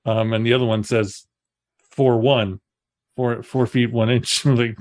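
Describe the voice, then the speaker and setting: A man's voice: mid-range voice, little pitch movement, measured pace, a little mellow. A man from the United States, a musician guest on a podcast, talking casually with many fillers.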